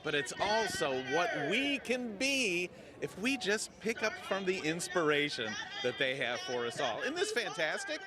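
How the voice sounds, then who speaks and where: A man interviewing athletes outdoors at a sports event, upbeat and animated. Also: high voice, a little slow. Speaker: host and field reporter. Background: crowd.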